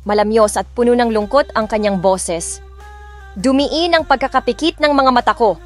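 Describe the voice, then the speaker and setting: A Filipino woman reads a romance story in the first person. Her voice sits a little high, and her telling carries held-back emotion. Soft music plays beneath her throughout. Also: moderate pace. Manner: emotional, restrained storytelling in Tagalog and English. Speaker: narrator and voice of the heroine. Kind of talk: first-person audiobook narration with acted dialogue. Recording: background music under the voice.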